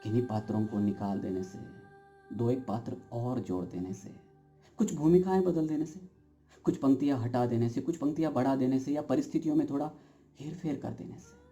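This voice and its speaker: Dark-voiced, brooding theatrical everyman played by a man. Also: mid-range voice, animated, faint music behind, lightly acted. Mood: emphatic, questioning. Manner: deliberate, philosophical, dramatic emphasis. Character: brooding, uncertain everyman narrator of a play. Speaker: actor performing an audition monologue. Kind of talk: theatrical stage monologue addressed to the audience.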